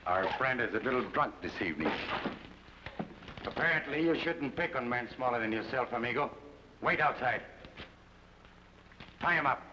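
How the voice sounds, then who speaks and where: A man's voice: mid-range voice, lively intonation, moderate pace, pauses often, lightly acted. A man playing a suave, menacing bandit captain with a put-on Mexican accent and mocking courtesy, in an old radio drama. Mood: mocking polite. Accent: put-on Mexican Spanish. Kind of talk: 1950s radio drama acting. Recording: muffled old radio broadcast with hiss.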